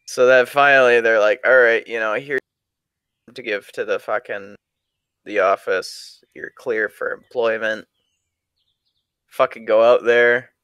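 A man's voice: medium pitch, steady tone, unhurried, long pauses, a bit bright, clear, resonant tone, no echo, clean studio sound. A man casually telling stories to friends and a live chat as a streamer, crude and swearing heavily.

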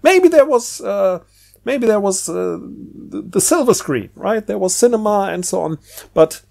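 A man's voice: high-pitched, lively intonation, unhurried, vocal fry, darkish tone. A man hosting a casual, reflective monologue, speaking in a hesitant, searching way and repeating words. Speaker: video host.

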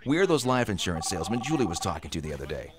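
An actor playing a menacing robber in a radio drama, taunting and sarcastic with a false friendliness. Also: male voice, deep voice, very animated, rapid-fire. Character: menacing, mockingly friendly male robber posing as FBI agent.

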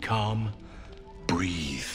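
A deep, solemn elven druid. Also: male voice, lively intonation, soft background music, full character voice. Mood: grave. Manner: grave, measured, formal phrasing. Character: solemn, earnest old elven druid, large and resolute. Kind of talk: video game character acting.

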